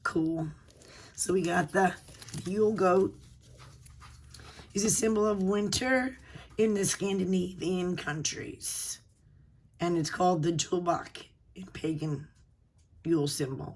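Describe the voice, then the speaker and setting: A woman with a hoarse voice from a cold, hosting a casual unboxing video and gushing softly over pretty items. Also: lowish voice, fairly even intonation, slow pace, pauses often, a little hiss or hum. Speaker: unboxing channel host.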